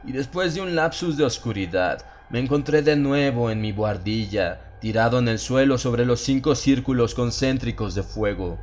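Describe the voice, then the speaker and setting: A man narrating a dramatized horror audiobook in an ominous, theatrical, measured way, drawing out his phrases. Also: mid-range voice, expressive, darkish tone, a little hiss or hum. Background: atmospheric music bed.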